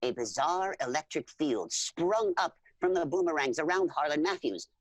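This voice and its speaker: A woman playing an eager alien storyteller who narrates adventure formally and dramatically, with a husky voice and spoken sound effects. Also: sits low, animated, normal speed, no room sound. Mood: dramatic. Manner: formal, repeats full names instead of pronouns.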